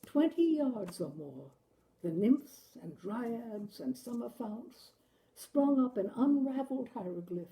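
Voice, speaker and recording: A woman reading a literary short story aloud with expressive, dramatic delivery. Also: on the high side, takes it slowly, highs cut off. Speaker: story reader, show host. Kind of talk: literary audiobook-style story narration.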